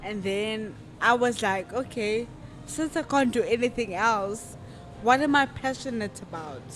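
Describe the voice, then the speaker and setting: A woman in her twenties, a podcast guest telling her own story in a casual, reflective way, often pausing to think aloud. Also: on the high side, slight background noise, rough recording. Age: 20s. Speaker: podcast guest, modeling agency founder. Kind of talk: personal story in casual interview.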